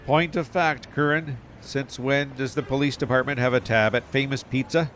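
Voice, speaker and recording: A man's voice: mid-range voice, fairly even intonation, moderate pace, a bit bright, slight background noise, lightly acted. Man playing skeptical, curt police captain. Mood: dry, challenging. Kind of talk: audio drama acting.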